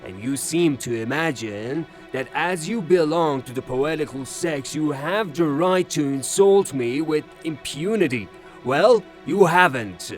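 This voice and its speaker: An actor playing a hot-tempered, blustering rustic gentleman in a theatrical audio drama, declaiming his lines. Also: a little high, expressive, a little slow, hardly any pauses, faint music behind. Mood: indignant, challenging.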